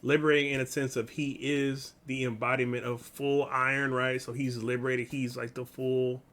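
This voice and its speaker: A man's voice: medium pitch, flat delivery, moderate pace, a bit bright. A man hosting a reaction video, giving casual, slangy commentary. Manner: informal, slangy. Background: music.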